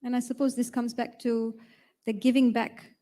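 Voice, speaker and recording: A woman hosts the interview in a polite, measured way. Her voice sits slightly high yet sounds mellow and soft. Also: little pitch movement. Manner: polite, measured, summarizing the guest's points. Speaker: host and interviewer. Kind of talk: university webinar interview.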